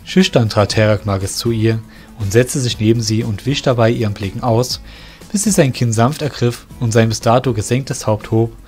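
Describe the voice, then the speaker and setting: A man reading the narration of an amateur radio play, in a steady, measured way. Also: mid-range voice, soft background music. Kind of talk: scripted storytelling narration.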